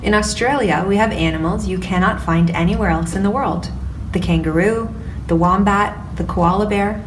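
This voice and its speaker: A woman's voice: medium pitch, moderate pace, lightly acted. A woman voicing a chirpy young farm girl who loves horses, in a storybook reading, recorded from a distance with background noise.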